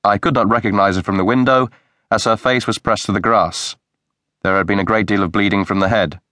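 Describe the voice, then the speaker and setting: Male narrator, lowish voice, measured read, close dry studio sound. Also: no echo. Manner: measured, even storytelling. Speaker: audiobook narrator. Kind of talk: scripted audiobook narration. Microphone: close studio mic.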